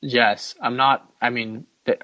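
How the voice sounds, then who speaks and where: Man, podcast host, mid-pitched voice, opinionated, mock-dramatic, self-deprecating, casual sports chat, dry recording. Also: steady tone, takes it slowly, bright, forceful tone, dry recording, lo-fi sound.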